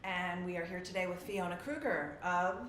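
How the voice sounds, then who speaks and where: A woman interviewing a guest, friendly and quick to laugh, prompting with short questions. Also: fairly low pitch, steady tone, fairly bright tone, roomy sound, a little hiss or hum. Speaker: interviewer, watch journalist. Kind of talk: casual interview.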